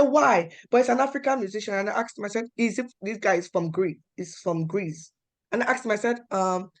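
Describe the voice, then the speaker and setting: Animated Nigerian woman telling work stories. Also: medium pitch. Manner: animated, acts out quoted dialogue with emphatic repetition. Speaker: panel speaker. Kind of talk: personal storytelling talk.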